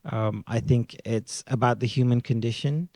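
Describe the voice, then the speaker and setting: A man's voice: medium pitch, fairly even intonation, moderate pace, warm tone, no echo. A man speaking hesitantly on a panel, with frequent fillers. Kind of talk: casual panel talk.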